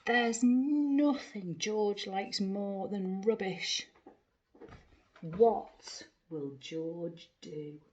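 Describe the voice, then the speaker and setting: A woman reading a picture book expressively to young children, theatrical, asking the listener questions with hushed asides. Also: medium pitch, drawn-out words, lo-fi sound. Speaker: primary school storyteller.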